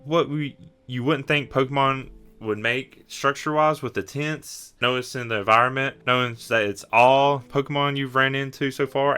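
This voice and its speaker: A man's voice: medium pitch, pushed and bright, smooth. A man narrating an improvised tabletop roleplay as the game master.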